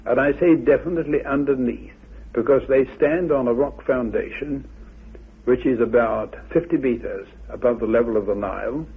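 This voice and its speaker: A man with a gravelly, husky voice giving formal, deliberate expert commentary on a dull old radio recording. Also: medium pitch. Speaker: commentator, researcher.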